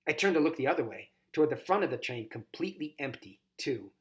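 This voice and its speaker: A man reading a children's novel aloud as a volunteer, narrating in an expressive, dramatized first-person style. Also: a little high, fast. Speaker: volunteer storybook reader.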